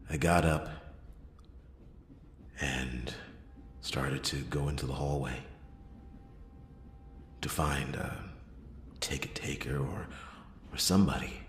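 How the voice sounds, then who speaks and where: Man playing a very deep, hushed noir detective. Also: echoey room, slight background noise, full character voice. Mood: resolved. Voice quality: hushed, breathy, often dropping into whisper. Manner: slow, halting, dramatic pauses. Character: brooding male noir detective recounting a murder case. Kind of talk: noir ASMR roleplay, first-person narration.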